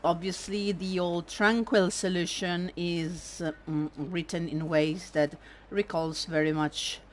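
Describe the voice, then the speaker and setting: A woman with an Italian accent, casually commenting on a game stream in a sardonic, animated way and swearing freely. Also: lowish voice, slow pace, slight background noise. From Italy. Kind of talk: casual let's-play commentary.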